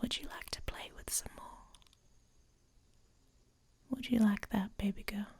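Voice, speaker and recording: Woman voicing a commanding werewolf woman, slow whisper, dark mellow tone, teasing, intimate ASMR roleplay. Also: mid-range voice, fairly even intonation. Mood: seductive, commanding. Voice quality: breathy, whispery, husky. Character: dominant, teasing adult female werewolf lover.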